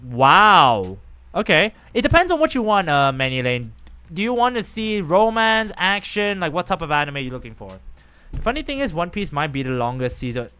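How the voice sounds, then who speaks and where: A young man live-streaming a game, chatting with viewers in an animated, rambling way. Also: a little high, normal speed, clean tone. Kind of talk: casual live-stream chat while gaming.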